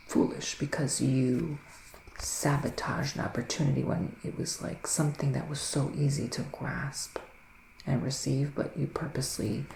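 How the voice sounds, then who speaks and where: A man playing a soothing, earnest male witch psychic in an ASMR roleplay, speaking softly and haltingly, often slipping into breathy whispers. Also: mid-range voice. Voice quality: breathy soft voice drifting into whispers. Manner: hushed, halting, intimate address to listener. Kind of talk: ASMR roleplay, improvised tarot reading. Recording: roomy echo, faint background noise.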